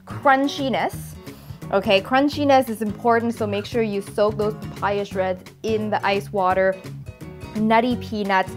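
A woman in her thirties from Thailand hosts a cooking show, chatting warmly to viewers as she pounds a salad in a home kitchen. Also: mid-range voice, fairly even intonation, unhurried, music in the background. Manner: chatty and upbeat, talks to viewers while pounding in a mortar. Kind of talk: cooking demonstration.

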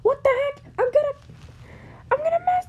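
A woman voicing a psychopathic male villain, shrill and ranting, in an amateur comic dub. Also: moderate pace, darkish tone, lo-fi sound, slight background noise. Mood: furious. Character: raging psychopathic male villain in a war machine.

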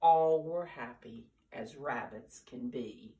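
An older woman in her seventies reading a picture book aloud to preschoolers in an animated, deliberate storyteller's manner, with drawn-out emphasis. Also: a bass voice, highs cut off. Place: living room, indoors. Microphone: camera a few feet away. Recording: roomy, distant camera microphone.

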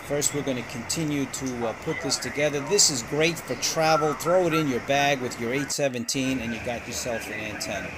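Halting man reviewing gear, noisy background. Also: mid-range voice. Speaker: host of a ham radio channel. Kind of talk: casual product review narration.

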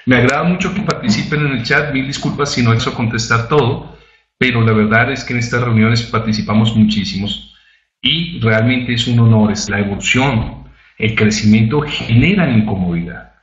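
A man's voice: medium pitch, moderate pace. A man from Colombia leading an online company presentation, upbeat and rallying, talking to the chat. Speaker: corporate department head. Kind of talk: webinar presentation. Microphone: computer mic on a web conference. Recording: roomy, dull web conference audio.

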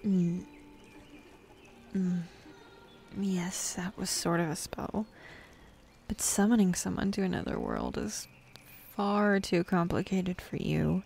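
A woman's voice: fairly low pitch, big pitch swings, slow pace, creaky, pauses often, a little mellow, heavily acted. A woman voicing a bossy, teasing demon in an intimate ASMR roleplay, sardonic and drawling, easily bored, slipping into whispers. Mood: wry. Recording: faint ambient forest background noise.

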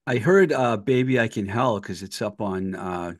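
A man in his sixties with a softened Boston accent, chatting casually and jokily as a podcast interviewer. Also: lowish voice, expressive, moderate pace. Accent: Boston, softened by years in Los Angeles. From Boston area, US. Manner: jokey, informal. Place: small home room on a video call. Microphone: desk microphone with earphones.

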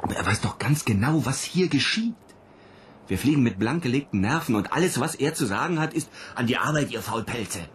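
A man acting in a radio drama as a worried, introspective astronaut who speaks haltingly. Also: medium pitch, animated, lightly acted. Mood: troubled, indignant. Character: brooding, worried male astronaut alone in space. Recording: clearly noisy ambient sound.

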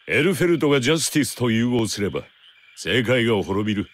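A tough, curt bounty hunter from an anime game. He is gruff and blunt, rough-spoken, with a mid-pitched voice that moves with force up and down. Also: very animated, fast. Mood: curt, irritated. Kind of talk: Japanese anime game voice acting.

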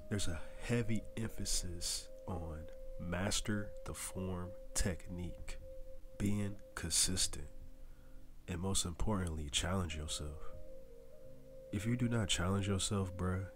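Deep-voiced man giving casual fitness pep talk. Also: fairly even intonation, measured pace, over music. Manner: casual slangy coaching, addresses viewers directly. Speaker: fitness vlogger. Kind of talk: motivational voice-over.

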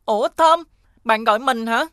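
A woman with a southern Vietnamese accent voicing a gentle, wary little schoolboy in a children's cartoon. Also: a little high, normal speed, slight background noise, close to an everyday voice. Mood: uneasy.

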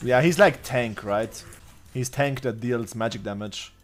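A man's voice: medium pitch, a little slow, loud music behind the voice. A man in his twenties streaming a game live, thinking aloud in a profane, offhand way. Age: 20s. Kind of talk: live gameplay commentary. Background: faint background beat.

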